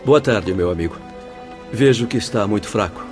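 An actor playing a composed, authoritative doctor in a spirit hospital in a radio drama. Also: male voice, on the low side, expressive, moderate pace, over music, lightly acted. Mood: calm. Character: calm spirit doctor, man.

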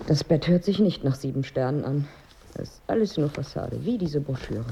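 Wife, radio drama. Dark, soft-edged low voice, dry irony, long-suffering and needling. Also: female voice, expressive, normal speed, creaky, dull audio, a little hiss or hum. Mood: wry grumbling. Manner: ironic, brooding, needling. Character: wry, frustrated wife of eight years, musing aloud. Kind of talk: radio drama dialogue and monologue.

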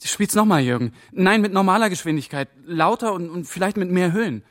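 A young man voicing an intern who works out clues with quick excitement, in a radio crime drama. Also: sits high. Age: young adult. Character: young male intern turned sleuth.

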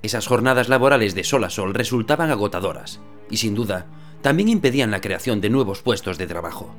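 A man playing a weary, low-voiced shipyard worker. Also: expressive, a little fast, faint music behind, natural voice. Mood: sober. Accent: Spanish. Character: weary male shipyard worker, sober and steady. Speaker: shipyard worker interviewed by phone. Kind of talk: radio drama testimony.

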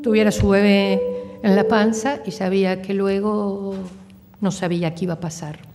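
A woman's voice: mid-range voice, fairly even intonation, moderate pace. A woman giving spontaneous spoken testimony as a witness. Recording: reverberant room, loud music underneath.

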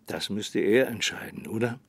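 An actor playing a calm, resigned small-town sheriff in a radio drama, speaking in a dry, measured manner. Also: male voice, on the low side, vocal fry, no room sound. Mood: laconic, guarded. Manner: dry, measured, hesitant hums.

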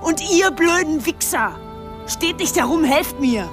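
Very high-pitched, fierce girl. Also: expressive, unhurried, over music. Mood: furious, urgent. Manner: urgent, commanding. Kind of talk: radio drama acting.

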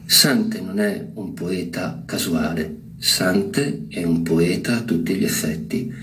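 A man speaks in a fairly low register, reflecting on literature like a teacher. His delivery is very slow and deliberate, leaning on key words. A little noise sits behind him. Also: fairly bright tone, poor audio. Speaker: literary commentator. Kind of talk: informal spoken literary commentary.

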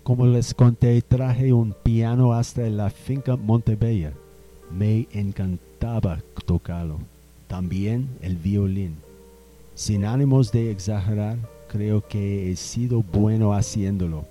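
Guy doing a refined old 1800s gentleman, slow and thoughtful, lowish voice, guitar music behind. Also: lo-fi sound. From Colombia. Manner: slow, reflective, storytelling. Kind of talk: radio drama acting. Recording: music bed under the voice.

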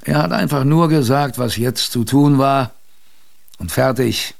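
A man playing an older adventurer who recalls his youth in a creaky voice, with wistful, conversational radio drama narration. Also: mid-range voice, measured pace. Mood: nostalgic. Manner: conversational, wistful, reflective storytelling.

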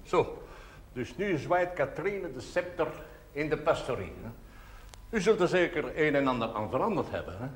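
An actor playing an elderly village priest in a television drama, speaking in formal, measured, slightly hesitant phrases. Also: male voice, on the high side, very animated. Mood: genial, teasing. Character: old village priest, courtly, wistful, gently teasing. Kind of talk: television period drama dialogue. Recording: faint background noise, 1970s television audio.

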